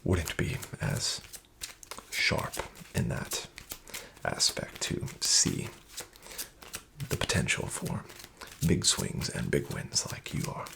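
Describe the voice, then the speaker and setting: Soft-spoken low gravelly man, whispering. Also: slow, noisy background. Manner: smooth, flattering, slyly manipulative dealer patter; whispery, with much unvoiced soft speech. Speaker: ASMR roleplay creator playing a casino dealer. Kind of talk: soft-spoken ASMR roleplay.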